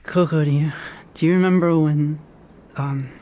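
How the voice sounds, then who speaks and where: An actor playing a lonely, anxious young janitor in an audio drama, halting and dreamy. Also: male voice, fairly high pitch, fairly even intonation, mellow, lightly acted. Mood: shaken, hesitant. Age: young adult. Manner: halting, hesitant, repeats words.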